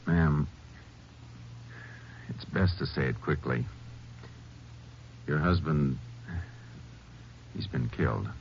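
An actor playing a determined, plain-spoken Texas Ranger in 1950s radio drama style, terse, grave and courteous. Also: male voice, a bass voice, slow pace, long pauses, exaggerated voice. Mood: grave, gentle. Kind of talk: 1950s radio western acting and narration. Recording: muffled, noisy 1950s radio recording.

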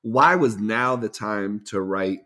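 A man's voice: fairly low pitch, slow, a bit bright. A man hosting a podcast, talking with a guest in a friendly, informal way. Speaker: podcast host. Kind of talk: conversational interview.

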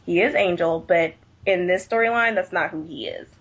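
A woman with a lowish voice speaks as a panelist in a casual film discussion. She is blunt and opinionated, with an exasperated way of talking. The sound is somewhat muffled and lo-fi. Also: normal speed, fairly bright tone, husky. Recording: dull, muffled lo-fi audio.

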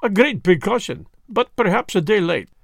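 A man's voice: high-pitched, lively intonation, normal speed, vocal fry, no echo, clean studio sound, pitched above the performer's own voice, full character voice. A man reading the part of a fussy, vain elderly Belgian detective who speaks precisely and drops French words. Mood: gently ironic.

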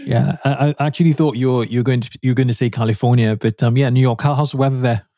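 Mellow-voiced male podcast host. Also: mid-range voice, steady tone, dry recording, studio quality. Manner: halting, repeats words when asking questions. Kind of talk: podcast interview with read sponsor ad.

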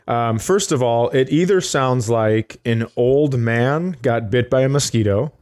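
A man's voice: medium pitch, measured pace, darkish tone. A man co-hosting a comedy podcast, riffing casually with mock quotes and comic scenarios. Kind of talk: casual joking banter.

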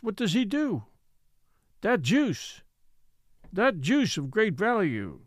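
A man's voice: high voice, no echo, studio quality. A man voicing an old Native man in a story reading, resigned and scornful, speaking simple broken English with rhetorical questions. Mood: puzzled scorn. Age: older.

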